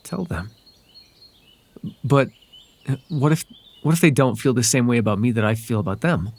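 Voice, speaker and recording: A man acts out a sly, whimsical fairy man in an intimate ASMR roleplay. His voice is husky and creaky, with pitch that swings expressively. He talks in a hesitant, conversational way, softly possessive underneath. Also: animated, moderate pace. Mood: smitten, then anxious, hesitant.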